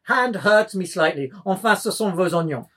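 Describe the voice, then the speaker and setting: A man playing a tipsy, pedantic young man in a dramatised novel reading, declaiming in a bookish, deliberate way. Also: sits high, expressive, sharp tone, full character voice. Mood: musing, detached. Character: tipsy, pedantic, high-flown young man, elaborately gesturing.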